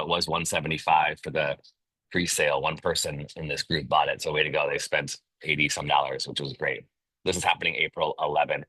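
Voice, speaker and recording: A man's voice: rumbling low, bright, forceful tone, breathy edge, dry recording, low-quality recording. A man hosting a casual online interview, upbeat and slangy as he promotes events and welcomes a guest over a video call. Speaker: host.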